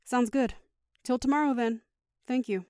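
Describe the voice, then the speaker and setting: A woman acting as an upbeat, caring mom in an audio drama. Her voice is high and closely recorded with a dry sound, and she talks in a chatty, reassuring way. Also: moderate pace, dark tone, no room sound, natural voice. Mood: polite, upbeat. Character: caring, upbeat middle-aged mother of teenagers.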